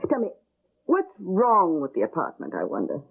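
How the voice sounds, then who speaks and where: Skeptical, swooping old aunt. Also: female voice, lowish voice, wildly swooping pitch, normal speed, creaky, husky, exaggerated voice. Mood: suspicious. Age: older. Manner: fussing, doubtful, dryly sarcastic. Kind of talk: radio drama acting. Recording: tinny, band-limited old radio broadcast.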